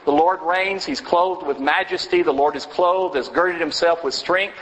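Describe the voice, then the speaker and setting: A man's voice: on the high side, a bit bright. Man teaching Bible class in a hall. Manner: halting, many fillers and restarts, chuckles. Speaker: preacher teaching a congregation class. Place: church meeting room.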